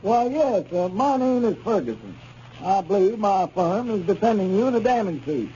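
An actor playing a polite, businesslike lawyer with a formal, courteous manner in a 1930s radio comedy. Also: male voice, high voice, sing-song, normal speed, mellow. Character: polite, businesslike male lawyer on a client call. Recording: muffled, noisy 1930s radio recording.

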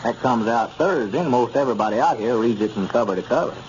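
An actor playing an excitable, gullible Southern country storekeeper with folksy talk in 1930s radio comedy. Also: male voice, mid-range voice, quick pace, natural voice. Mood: folksy, eager. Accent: rural Southern US. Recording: muffled, noisy 1930s radio recording.

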